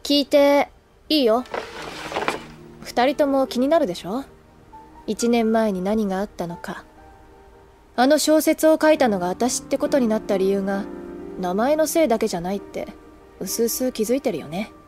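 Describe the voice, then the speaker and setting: Woman doing a laid-back teasing senior schoolgirl, anime drama cd, kinda wistful, music clearly behind her. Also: fairly high pitch, expressive, moderate pace, pauses often, natural voice. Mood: candid, calm. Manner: languid, casual, teasing. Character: teasing, wistful older schoolgirl, candid upperclasswoman. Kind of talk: anime audio drama acting.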